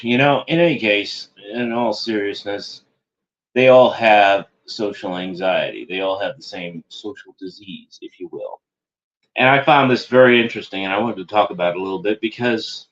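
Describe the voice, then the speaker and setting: Man, low voice, lo-fi home recording; wandering, snide online commentary monologue. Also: a bit bright. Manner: meandering, sardonic, self-correcting. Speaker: internet show host.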